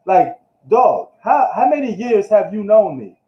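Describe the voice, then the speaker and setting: A man's voice: high voice, sing-song, measured pace. Slangy man ranting in an echoey room. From New York. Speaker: sports streamer. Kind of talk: casual live sports recap. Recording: echoey room, dull lo-fi live stream.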